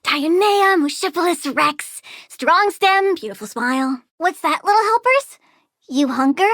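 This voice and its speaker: An actress playing a perky, morbidly cute young plant villainess in a video game, with a childlike, sardonic, theatrical delivery. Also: female voice, very high voice, expressive, a little slow, fairly bright tone, dry recording, studio quality, exaggerated voice. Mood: excited. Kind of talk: video game character dialogue.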